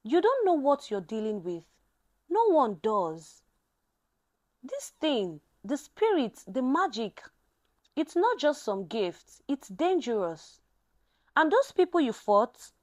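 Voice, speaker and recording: Woman voicing a worried, pleading man, high-pitched. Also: sing-song, slow pace, darkish tone, exaggerated voice. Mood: frustrated, afraid. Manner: earnest, pleading. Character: worried, frustrated man pleading with his close companion.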